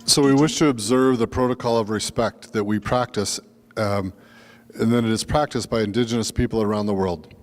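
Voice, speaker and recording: Lakota man presenter, low, earnest voice, reverb of a large hall. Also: steady tone, fairly quick. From Lakota, United States. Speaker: workshop presenter. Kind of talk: land acknowledgment and introduction.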